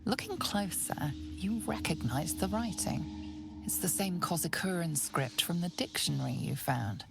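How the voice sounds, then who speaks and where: A woman playing a measured, mysterious narrator in a fantasy video game, addressing the listener directly. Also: poor audio. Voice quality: low, creaky. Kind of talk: scripted second-person fantasy narration. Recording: game music under the voice.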